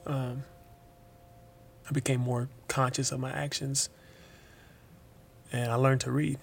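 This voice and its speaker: An actor playing a weary but forgiving wrongfully imprisoned young man in an interview-style drama monologue, halting and informal, repeating words. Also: medium pitch, vocal fry, fairly bright tone.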